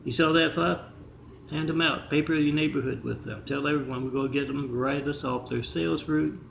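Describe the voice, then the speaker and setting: Man, very gravelly voice, somewhat high pitch, rambling, echoey apartment room. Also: steady tone, a little fast, slight background noise. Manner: rambling, restarts often, reads out numbers and addresses. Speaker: vlogger. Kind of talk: rambling monologue to camera. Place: indoor apartment with a kitchen.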